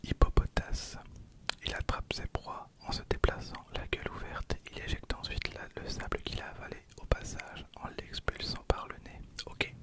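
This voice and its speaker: A man speaks in a breathy, unvoiced whisper, giving informal ASMR commentary. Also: normal speed. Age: 20s. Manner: informal, murmured running commentary. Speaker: ASMR video creator. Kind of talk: whispered ASMR with casual commentary on trading cards.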